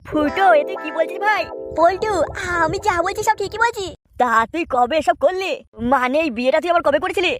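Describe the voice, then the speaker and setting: A woman voicing whining, excitable, crude village men in a comic cartoon. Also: extremely high pitch, brisk, few pauses, over music. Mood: troubled, complaining. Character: squeaky, excitable comic male village fellows.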